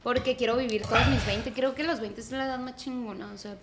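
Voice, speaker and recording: A woman's voice: fairly high pitch, fairly even intonation, fast talker. A woman in her early twenties with a Mexican accent, chatting casually on a podcast panel. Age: early 20s. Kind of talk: casual group chat. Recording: crowd of voices behind.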